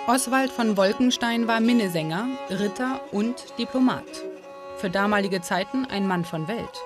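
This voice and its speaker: Woman narrating a documentary over music. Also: medium pitch. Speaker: documentary presenter.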